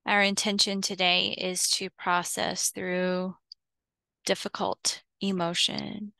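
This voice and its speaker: A woman leading a guided visualization as a meditation teacher, soothing and unhurried, leaving long pauses between short phrases. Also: lowish voice, level tone, vocal fry, bright, forceful tone, no echo.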